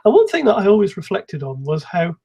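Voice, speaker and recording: A man giving an explanatory technical conference talk in a calm, measured manner, with a slightly husky voice. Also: high voice, dull audio. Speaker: conference speaker, software engineer.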